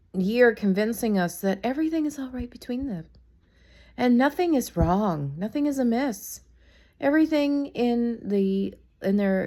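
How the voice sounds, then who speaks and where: A woman with a raspy voice from being sick, chatting casually to camera in a rambling, opinionated gossip vlog. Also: mid-range voice, mellow. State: sick, raspy from a cold, coughing. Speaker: vlogger.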